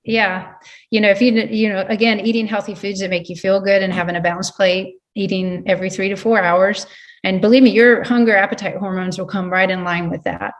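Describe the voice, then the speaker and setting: A woman's voice: medium pitch, fairly even intonation, fairly quick. A woman in her fifties, a sports nutritionist, giving earnest advice in a casual podcast chat, often restarting her sentences. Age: 50s. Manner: earnest, advising, many restarts.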